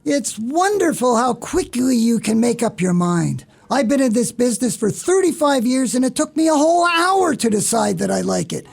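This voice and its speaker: A man playing an older, excitable, proud shop owner in a radio play, commanding, self-important and sarcastic. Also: extremely high pitch, moderate pace, creaky, hardly any pauses, heavily acted. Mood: sarcastic, testy.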